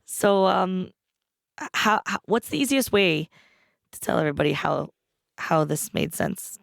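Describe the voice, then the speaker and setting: A woman co-hosting a podcast in casual chat, with a hoarse, froggy voice, chatty and laughing often. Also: fairly low pitch, expressive, a little slow, dry recording, studio quality. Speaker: podcast co-host.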